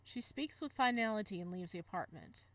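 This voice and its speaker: A woman hosting a gaming video, moving between expressive story narration and casual, animated commentary. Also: medium pitch, fairly quick, no echo. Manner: animated, exclamatory asides. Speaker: gaming video host reading a visual novel.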